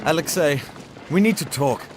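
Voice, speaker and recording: With artillery and vehicles clearly behind him, an actor plays an earnest Soviet major in a war game. The man's voice is high and swings widely, emotive and open with his comrades. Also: animated, moderate pace, lightly acted. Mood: grave, worried. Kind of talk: dramatic video game cutscene acting. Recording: battle noise behind the dialogue. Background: artillery fire and vehicles.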